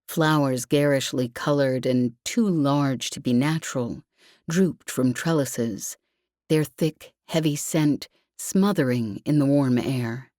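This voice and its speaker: Female audiobook narrator for fiction. Low register, slow delivery, very dry sound. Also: darkish tone, dry recording.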